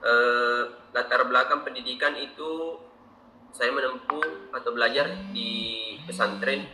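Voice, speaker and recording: A young Indonesian man from Makassar with an Indonesian accent, answering interview questions in a measured, methodical way with frequent fillers. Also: medium pitch, fairly even intonation, pushed and bright, faint music behind. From Makassar, South Sulawesi, Indonesia. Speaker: interviewee, engineer. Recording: roomy, distant mic, faint ambience.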